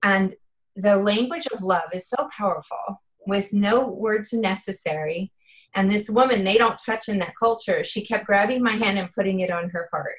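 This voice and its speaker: A woman's voice: medium pitch, moderate pace, low-quality recording. A woman in her fifties, a guest in an interview, speaking earnestly and from the heart with many "you know" fillers. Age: 50s. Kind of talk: casual interview chat.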